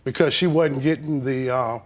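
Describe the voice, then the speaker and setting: A man testifying on a court show, hesitant and defensive, filling pauses with "um". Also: mid-range voice.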